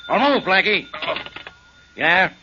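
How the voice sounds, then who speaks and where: A sarcastic grumbling police inspector. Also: male voice, high voice, animated, slow. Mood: impatient. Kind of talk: 1940s radio acting. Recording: dull old radio recording with hiss.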